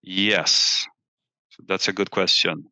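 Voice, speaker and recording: A man presenting company results as an executive on a call, halting and often repeating words as he searches for phrasing. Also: sits low, fairly even intonation, vocal fry, pushed and bright. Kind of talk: earnings call presentation and answers. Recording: muffled, echoey room on online call.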